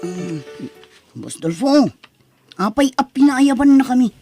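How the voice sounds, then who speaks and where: A woman's voice: sits high, animated, unhurried, music in the background. A woman playing a cheeky, sociable young male friend in an Ilocano drama, with a teasing, casual manner. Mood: respectful. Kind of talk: Ilocano radio-style drama acting.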